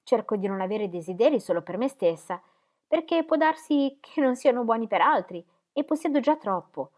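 A woman's voice: on the high side, animated, moderate pace, a little mellow, pitched above the performer's own voice, lightly acted. Woman reading as an earnest devout young lady. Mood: fervent.

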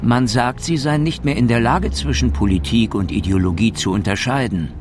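A man's voice: medium pitch, normal speed, background noise, lightly acted. A man reading scripted documentary-style narration and interview questions in a radio drama.

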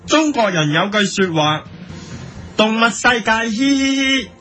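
A man's voice: very high voice, lively intonation, a little slow, sharp tone. A man with a Hong Kong Cantonese accent giving mock-serious editorial commentary as a host, in a theatrical, mock-earnest manner. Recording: faint music bed under voice. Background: music.

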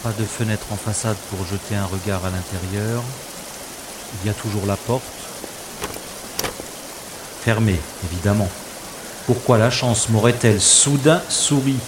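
A man's voice: fairly low pitch, close to an everyday voice. A man playing a sardonic special agent on the run in a French audio drama, talking half to himself. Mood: wary, wry. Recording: noisy, with rushing water effects.

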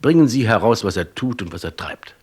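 An actor playing a brisk, authoritative Scotland Yard chief in a German radio crime drama, with clipped, commanding delivery and a husky voice. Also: male voice, fairly low pitch, lively intonation, a little mellow. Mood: decisive, commanding.

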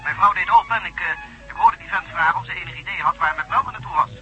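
An actor playing a chatty, concerned male neighbour on the telephone in a radio drama, talking in a brisk, confiding way. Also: high-pitched, sharp tone, soft background music. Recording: dull lo-fi radio recording.

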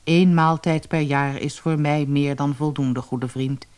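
A storybook narrator voicing a mysterious gaunt man, grave, courteous and formal, with a deep hollow voice. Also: steady tone, unhurried, darkish tone, clear, resonant tone, lightly acted. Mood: earnest, calm. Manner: formal, solemn, few words. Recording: noisy old cassette audiobook transfer.

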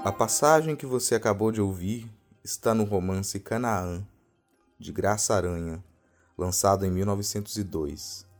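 Low-voiced Brazilian man narrating horror. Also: normal speed. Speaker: podcast narrator of a true ghost story. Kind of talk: scripted horror storytelling narration. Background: background music.

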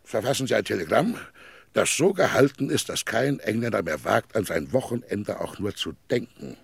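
An actor in a radio play, playing an imperious, self-important company president, gravelly and husky, with a pompous, commanding manner. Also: male voice, lowish voice, sing-song, moderate pace, no room sound, heavily acted. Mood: exasperated, domineering. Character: imperious, self-important tycoon, hectoring his staff, absent-minded. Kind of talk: German radio play acting.

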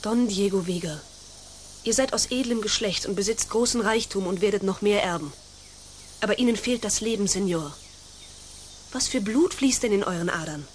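A woman's voice: medium pitch, moderate pace, a little hiss or hum, natural voice. Woman playing a proud, spirited young noblewoman. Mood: proud, challenging. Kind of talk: radio play acting.

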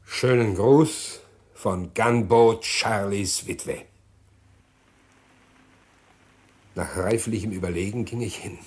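An actor playing a scheming, self-pitying husband who recounts his crime in a German radio play, deliberate and theatrical. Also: on the low side, darkish tone, slight background noise, lightly acted. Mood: reflective.

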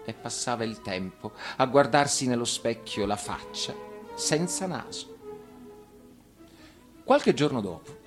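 A man's voice: mid-range voice, big pitch swings, measured pace, long pauses. A man reading a children's tale expressively as an actor, with music behind him. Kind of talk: expressive storybook narration. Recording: radio reading over music.

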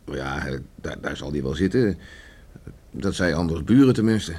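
An actor in a Dutch radio play, playing a stern, stubborn middle-aged farmer father troubled by remorse, brooding and halting. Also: very deep voice, lively intonation, darkish tone, slightly rough, full character voice. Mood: evasive, hesitant. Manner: brooding, halting, muttering to himself. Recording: faint background noise on radio recording.

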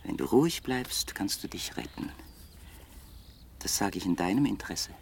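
An actor playing a wealthy young bachelor in a 1960s German radio play, polite, ironic and precise, with a mocking, quietly menacing manner. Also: male voice, fairly low pitch, lively intonation, moderate pace, gravelly, in a whisper. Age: young adult. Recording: noisy vintage radio play recording.

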